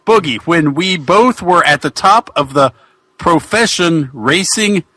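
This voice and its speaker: Grateful, folksy old racing buddy, read by a man, slow and bright, stumbling through comic story dialogue. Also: on the high side, animated, no room sound, exaggerated voice. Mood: earnest, hearty. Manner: halting, stumbling reading. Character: friendly, grateful former racer, folksy male buddy.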